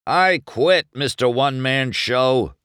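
A man's voice: medium pitch, expressive, takes it slowly, fairly bright tone, clear, resonant tone, no echo, studio quality, voice pushed up. A man voicing a fed-up male pit crew worker in a storybook, indignant and spitting his words. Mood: angry.

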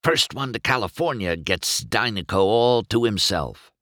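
A man's voice: on the low side, expressive, measured pace, sharp tone, no echo, studio quality, pitched above the performer's own voice. Man voicing a rival race car, smug, taunting, sneering, storybook. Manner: mocking, sneering.

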